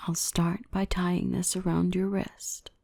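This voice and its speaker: Woman playing a slow, hushed, gentle dark elf mistress. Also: lowish voice, little pitch movement, gravelly, dry recording, studio quality, full character voice. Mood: tender, reassuring. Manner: soothing, unhurried, reassuring, often whispering. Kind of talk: ASMR roleplay, softly whispered and spoken.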